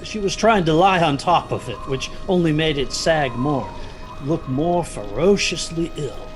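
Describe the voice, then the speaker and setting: An actor playing an anxious, guilt-ridden young son, narrating a story in the first person with literary intensity. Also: male voice, a little high, lively intonation, unhurried, faint music behind. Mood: guilty.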